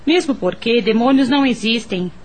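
Kindly neighbour for radio drama: fairly high voice, gentle and persuasive. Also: female voice, expressive, moderate pace. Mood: gently insistent. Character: discreet, kindly, well-meaning neighbour woman. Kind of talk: radio soap opera acting.

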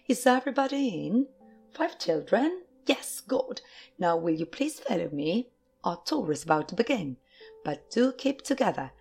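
A woman voicing an effusive, excitable little eccentric male factory owner in a high, fluty storybook voice. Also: creaky, exaggerated voice. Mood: excited, overjoyed. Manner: effusive, exclamatory, polite, rapid repeated phrases. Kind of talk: storybook character voice.

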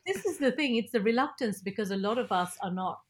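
A woman's voice: on the high side, lively intonation, fast talker. Talkative, emphatic woman in conversation. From Nigeria, later England. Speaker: podcast guest, broadcaster and film critic. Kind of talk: casual interview, telling personal story.

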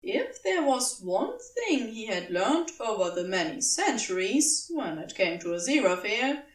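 Lively, unhurried woman narrating a story. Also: on the high side, echoey room, recorded from a distance. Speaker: podfic narrator. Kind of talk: scripted story narration, expressive.

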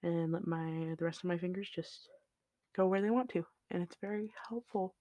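A podcast host talking in an unscripted solo chat, with a flat, monotone delivery, rambling from one tangent to the next with lots of fillers, into a shelf-mounted mic. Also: medium pitch, moderate pace, no room sound. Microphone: shelf-mounted studio mic.

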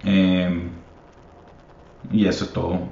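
Podcast host, man, deep and unhurried, mixes in English, encouraging, echoey dull recording. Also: monotone, mellow. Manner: switches between Spanish and English, many fillers; encouraging, calls listeners papi. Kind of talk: casual podcast monologue. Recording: echoey room, slightly dull sound.

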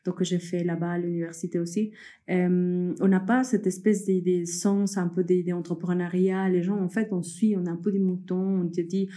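A young woman from Peru answers interview questions in a relaxed, conversational way. Her voice is mellow and fairly low, and she pauses often with fillers and restarts as she works through her thoughts. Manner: hesitant, many fillers and restarts, laughs often. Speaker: guest, entrepreneur.

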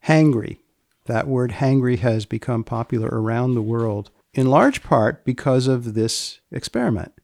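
A man hosting a podcast, giving deliberate, deadpan explanatory commentary in short measured phrases with dry asides. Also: medium pitch, gravelly, dry recording. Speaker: podcast host.

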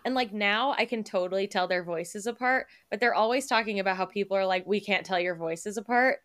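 A woman's voice: medium pitch, fairly quick, fairly bright tone. A young woman co-hosting a podcast, chatting casually with many fillers and frequent laughs. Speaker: podcast co-host and editor. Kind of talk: casual chat.

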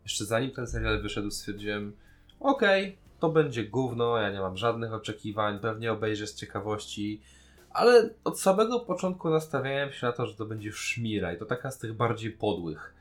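A man's voice: fairly low pitch, big pitch swings, moderate pace. A man co-hosting a casual podcast discussion, talking in an informal, blunt way. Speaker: podcast co-host.

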